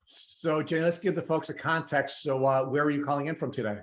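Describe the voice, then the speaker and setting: A male podcast host with a fairly high voice for a man opens the show with upbeat, eager introductions. When he turns to questions, he slows into a deliberate pace, pausing on "uh". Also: steady tone. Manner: measured, deliberate questioning with "uh" pauses. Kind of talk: podcast hosting and interviewing.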